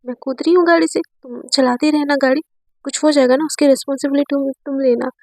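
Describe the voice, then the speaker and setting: A woman's voice: sits high, steady tone, fast talker, gravelly, a little mellow, no room sound. A woman voicing a strict, stubborn young female teacher in a told romance story, scolding and insistent, with an Indian accent. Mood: annoyed, scolding. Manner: scolding, insistent, repeats herself.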